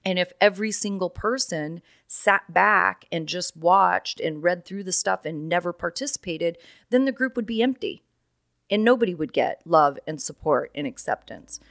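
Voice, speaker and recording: A woman with a naturally raspy voice, hoarse right now, talking casually and earnestly as a solo podcast host. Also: lowish voice, animated, normal speed, a bit bright, no echo, studio quality. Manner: encouraging, earnest, many "right?" asides.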